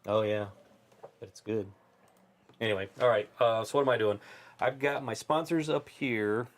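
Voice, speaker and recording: A man's voice: mid-range voice, moderate pace. A man chatting casually during a board game live play, talking through his moves aloud as he goes.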